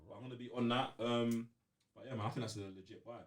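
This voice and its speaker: A man's voice: medium pitch, steady tone, fast, far from the mic. A man chatting casually on a gaming podcast, using plenty of slang and repeating himself. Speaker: podcast co-host.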